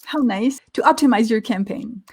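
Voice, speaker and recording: A woman with a slightly high voice lays out marketing advice in an energetic, emphatic way. She explains things one step at a time, like a guest expert on an interview show. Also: moderate pace, slightly rough. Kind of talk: tips presentation in an interview.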